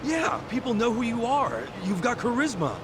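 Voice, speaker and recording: A man voicing a brash, warm-hearted, impulsive and loyal ex-yakuza in a video game dub. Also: very high-pitched, huge pitch swings, normal speed, noisy background, exaggerated voice. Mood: curious. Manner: informal, blunt, eager.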